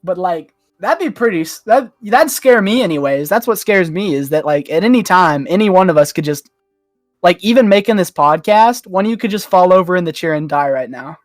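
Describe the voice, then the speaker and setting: A podcast panellist in casual group chat, with a mid-pitched voice in a dry, close recording. The delivery is insistent and argumentative, pressing each point conversationally. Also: expressive, a little fast, no room sound, soft background music.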